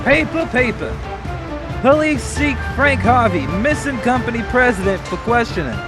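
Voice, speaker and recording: An actor playing a newspaper seller loudly crying out headlines in an old-time radio drama. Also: male voice, very high voice, sing-song, normal speed. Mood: urgent. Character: shrill newspaper seller hawking an extra edition. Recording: distant voice under loud music.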